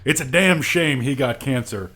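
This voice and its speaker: A man's voice: medium pitch, moderate pace, a bit bright. A man co-hosting a casual podcast discussion with a wry, sardonic way of talking. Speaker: podcast host.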